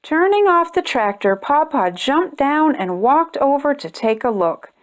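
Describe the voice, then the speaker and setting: Slow, high-pitched woman reading a storybook. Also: big pitch swings. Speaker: storyteller reading a children's book. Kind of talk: read-aloud storybook narration for young children.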